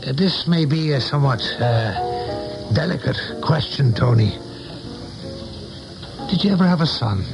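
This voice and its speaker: An actor playing a concerned, probing American man, a confidant, with measured, deliberate delivery in a 1970s radio drama style. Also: mid-range voice, animated, creaky, loud music behind the voice, low-quality recording. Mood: tactful, probing.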